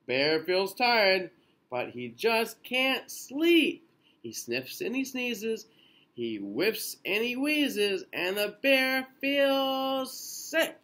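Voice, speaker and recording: A man in his thirties reading a children's picture book aloud in an expressive, sing-song way with drawn-out phrases. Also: high voice. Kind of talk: children's storybook read-aloud. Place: small indoor room.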